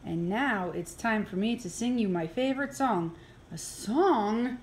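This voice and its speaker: A woman reading a children's picture book aloud in an expressive, playful way, with exaggerated reactions. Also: fairly high pitch, unhurried, noisy background. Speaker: early childhood program coordinator reading aloud. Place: indoors, filmed over an open book.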